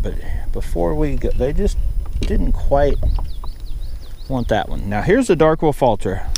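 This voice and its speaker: A middle-aged man talks his way through a day of fishing from a small boat on a river. His voice sits in an ordinary middle pitch, and he rambles along, getting excited whenever a fish bites. It is caught outdoors on an action camera, with a faint hiss of wind behind him. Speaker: fishing vlogger. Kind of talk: casual running commentary while fishing. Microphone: body-mounted action camera.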